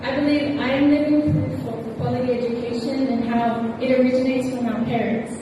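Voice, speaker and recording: A young woman from Samoa speaks in a measured, earnest way, her voice sitting fairly high. She is heard from a distance in a large, echoey hall with noticeable noise behind her. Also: steady tone. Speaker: pageant contestant. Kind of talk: prepared speech, then answering a panel. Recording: echoey hall, from far off, noisy.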